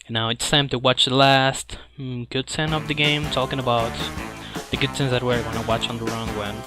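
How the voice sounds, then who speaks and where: A man's voice: mid-range voice, steady tone, normal speed. A man who is a non-native English speaker, giving hesitant, rambling live commentary as a speedrunner playing a game. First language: not English. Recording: loud game music behind the voice. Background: video game music.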